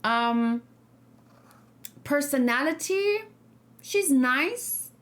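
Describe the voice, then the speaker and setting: A woman's voice: high-pitched, expressive, very slow, fairly bright tone. A woman streaming casual game reaction and discussion, thinking aloud and giving her opinions to viewers. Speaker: gaming streamer.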